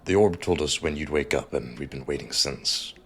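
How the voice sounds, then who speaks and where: Very deep, tired male comrade acted by a man. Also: normal speed, fairly bright tone, slightly rough, faint music behind, heavily acted. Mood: tired, businesslike. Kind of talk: dark fantasy audiobook dialogue.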